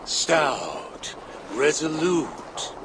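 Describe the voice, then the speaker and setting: Slow, sinister sorcerer lord. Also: male voice, fairly high pitch, big pitch swings, background noise, heavily acted. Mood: sly, commanding. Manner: drawn-out, theatrical commands. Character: menacing, smooth-talking fire-giant sorcerer lord.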